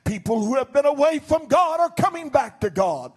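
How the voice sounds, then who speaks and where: Fervent older pastor with a high voice. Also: male voice, very animated, moderate pace, soft background music. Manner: fervent preaching, rising to shouts then dropping low. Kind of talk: sermon. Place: church stage. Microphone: handheld mic.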